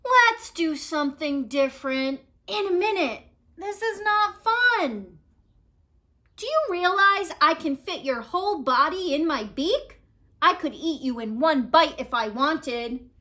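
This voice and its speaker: A woman's voice: high voice, expressive, slow, pushed and bright, pitched above the performer's own voice, heavily acted. A woman voicing a blunt, exasperated female vulture in a storybook, speaking emphatically. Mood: bored, fed up. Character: blunt, easily fed-up female vulture friend.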